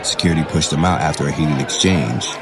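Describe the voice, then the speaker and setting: A man narrating a scripted drama in short, measured, dramatic phrases. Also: extremely low pitch, a loud crowd, very noisy. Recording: music behind the voice.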